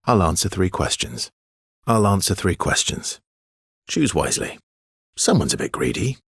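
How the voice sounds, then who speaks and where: An actor playing a guarded, wry survivor of an expedition in a video game, with an understated, slightly hesitant delivery and a husky, gravelly low voice. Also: male voice, no echo, clean studio sound, exaggerated voice. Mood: dry, teasing. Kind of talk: video game character dialogue.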